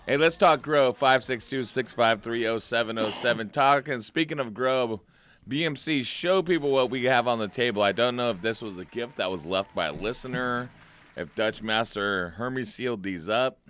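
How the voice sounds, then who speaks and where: An American man with a slightly hoarse voice, hosting a live radio show with brash, profane, teasing banter. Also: mid-range voice, normal speed. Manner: brash, teasing, animated storytelling. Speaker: host of a live cannabis growing radio show. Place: radio studio.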